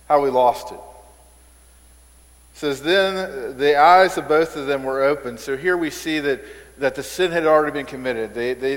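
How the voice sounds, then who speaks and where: A male pastor preaching a conversational sermon in a church, repeating words as he builds emphasis. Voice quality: says he has a squeaky voice. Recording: reverberant hall sound.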